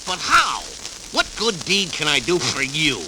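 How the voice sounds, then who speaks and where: Casting: blustery scheming cartoon tomcat, slobbery lisp, high and swooping, on a vintage record. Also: male voice, huge pitch swings, a little slow, noisy background, heavily acted. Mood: sly, doubtful. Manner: blustery, exclamatory. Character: lisping, grumpy, scheming cartoon cat. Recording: vintage 78rpm record with music.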